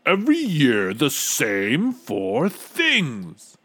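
A cranky old king from a storybook, growling and bellowing at everyone, voiced by a man reading the tale. His lines swing widely in pitch and sound set back from the mic. The room around him is dry and dead. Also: wildly swooping pitch, very slow, a bit bright, dry recording, exaggerated voice. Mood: angry, bellowing. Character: grumpy old king, loud and demanding.

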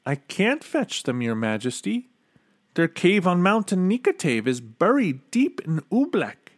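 A man's voice: sits high, wildly swooping pitch, a little slow, dry recording, clean studio sound, pitched above the performer's own voice, exaggerated voice. A man voicing a bold, earnest and outspoken boy in a storybook, scolding and speaking his mind. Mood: helpless. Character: plain-spoken, bold boy scolding a king. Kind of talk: storybook character voice.

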